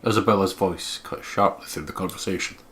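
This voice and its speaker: A man's voice: low-pitched, fairly quick, fairly bright tone. A man co-hosting a let's play, casually chatting and reading story narration aloud. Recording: clear background noise.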